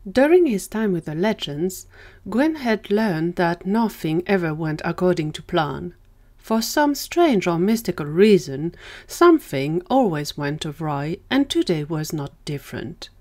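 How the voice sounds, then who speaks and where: A woman's voice: fairly low pitch, very animated, measured pace, a little mellow. A woman narrating a scripted fiction story for an audio drama.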